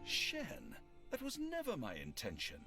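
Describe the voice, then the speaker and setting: A man's voice: a little high, wildly swooping pitch, pushed and bright, exaggerated voice. Determined, idealistic male lord, formal and extremely varied in intonation, game cutscene. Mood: resolute, earnest. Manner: formal, solemn, measured diction. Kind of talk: video game cutscene acting. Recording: dramatic music behind.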